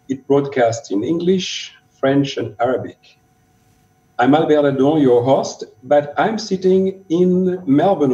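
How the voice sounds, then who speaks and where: A man's voice: medium pitch, mellow, distant mic. A man hosting an interview podcast, introducing his guest and asking conversational questions. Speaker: podcast host. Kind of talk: interview host. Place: room in Melbourne. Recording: dull roomy audio from off-mic.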